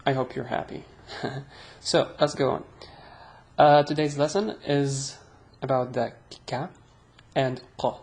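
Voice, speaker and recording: Slow, gravelly-voiced Arabic-accented man teaching. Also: medium pitch, little pitch movement, darkish tone. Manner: slow, chatty, self-deprecating, drawn-out sounds. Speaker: language teacher. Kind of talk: casual online language lesson.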